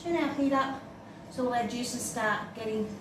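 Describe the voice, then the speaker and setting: A woman's voice: a little high, normal speed. A middle-aged woman, giving a casual, step-by-step cooking demonstration while busy at the stove in a home kitchen. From Australia. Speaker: home cook presenting a recipe. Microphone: camera mic a few steps away. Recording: roomy, distant, noisy kitchen camera sound. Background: pots and dishes clattering, sizzling.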